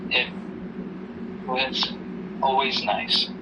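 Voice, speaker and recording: A man playing a gentle, protective grieving father in an amateur audio drama, speaking in a halting, reassuring way. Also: mid-range voice, monotone. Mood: somber. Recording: noisy hobby recording, distant mic.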